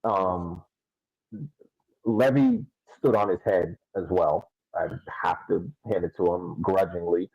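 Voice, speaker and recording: A man co-hosting a podcast, giving casual soccer analysis in a halting way and repeating words as he thinks. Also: low-pitched, very animated, vocal fry, darkish tone, dry recording, lo-fi sound. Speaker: podcast co-host.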